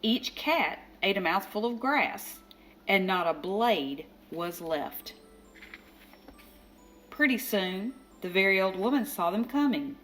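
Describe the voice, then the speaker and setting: Slow, very expressive woman storyteller. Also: medium pitch, faint music behind. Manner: expressive, dramatic emphasis on repeated phrases. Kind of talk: children's picture book read-aloud. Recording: faint background noise.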